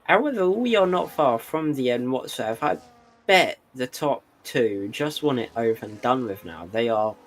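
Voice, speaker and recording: Casting: tired, throaty commentator, dry wit, mid-range voice, sim racing broadcast. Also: male voice, mid-range voice, animated, moderate pace. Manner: dry, wry asides between race calls. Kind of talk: live esports race commentary.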